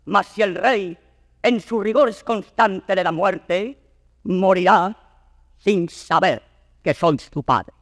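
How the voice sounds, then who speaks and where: An anguished noble father in classical verse drama. The voice is fairly high for a man and declaims solemnly. A long reverb surrounds it. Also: slow pace, a bit bright, studio quality, heavily acted. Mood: anguished, torn. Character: anguished noble father torn between honour and love. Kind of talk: classical Spanish verse theatre recording.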